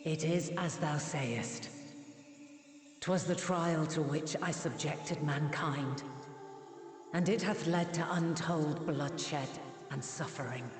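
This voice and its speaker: Ancient goddess, low register, very slow, solemn, motherly, archaic fantasy game delivery, long reverb. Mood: solemn, remorseful. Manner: stately, archaic, deliberate phrasing. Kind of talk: dramatic fantasy game acting. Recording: reverberant hall, music underneath.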